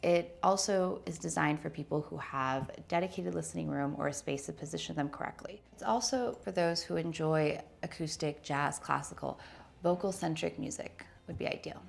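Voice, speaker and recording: Female reviewer, thirties, lower register with strong creak, conversational and emphatic video presenting. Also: moderate pace. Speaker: product reviewer and showroom presenter. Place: indoor showroom with wood-slat wall.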